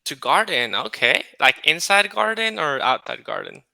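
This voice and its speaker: Brief: friendly male tutor, bright crisp voice, dry close sound. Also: on the high side, dry recording. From California, United States. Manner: relaxed and friendly, many fillers and pauses. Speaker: English tutor. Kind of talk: casual video-call conversation. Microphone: video call.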